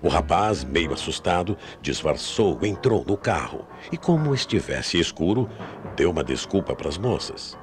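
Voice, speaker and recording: A very deep-voiced Brazilian man narrates a mystery tale for radio. His delivery is dramatic and highly inflected, with music playing clearly behind him. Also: sing-song, unhurried.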